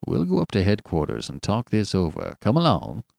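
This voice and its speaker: A man's voice: low-pitched, huge pitch swings, brisk, vocal fry, darkish tone, dry recording, studio quality, full character voice. A man voicing a cool, amused army colonel in a storybook, drawling with kindly condescension. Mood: wry, firm.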